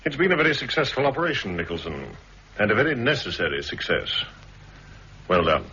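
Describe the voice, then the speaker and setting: A man with a British accent playing a brisk, authoritative senior army brigadier in a 1960s radio drama, terse and measured. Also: fairly low pitch, very animated, bright, forceful tone. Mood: brisk, satisfied. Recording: muffled, hissy 1960s radio recording.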